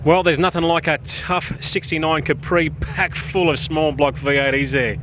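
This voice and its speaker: A man's voice: fairly high pitch, steady tone, normal speed, noisy background. A man hosting a car show, chatting enthusiastically with owners at an outdoor event. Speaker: host.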